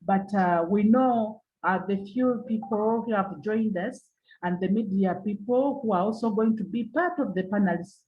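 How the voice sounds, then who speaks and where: A woman from Uganda with a Ugandan English accent, giving formal opening remarks as a webinar moderator over a video call, halting and repeating her points. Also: mid-range voice, narrow, tinny audio.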